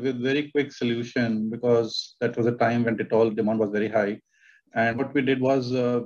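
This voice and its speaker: A man in his forties with an Indian English accent, speaking on a video-call panel, halting and repeating words often. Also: mid-range voice, darkish tone, lo-fi sound. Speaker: panelist, company IT head. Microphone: webcam on a video call.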